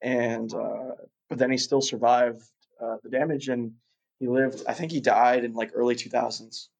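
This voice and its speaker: A young man, born in Russia, talks through an interview in a relaxed, meandering way. His voice sits at an ordinary middle pitch. He fills pauses with "um" and "you know" and often restarts his sentences. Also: gravelly. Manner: rambling, many fillers and restarts. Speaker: guest. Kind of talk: casual interview chat.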